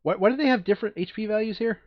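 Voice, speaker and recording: A man's voice: high-pitched, very fast, a crowd in the background. A man chatting casually and thinking aloud as he plays a board game, sometimes muttering to himself, on a slightly dull lo-fi microphone. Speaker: board game player recording a playthrough.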